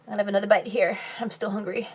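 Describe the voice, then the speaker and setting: A woman's voice: medium pitch, level tone, husky, poor audio. A young woman from California, casually answering viewer questions on a phone live stream while eating. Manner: chatty, reads comments aloud and answers with quick laughs.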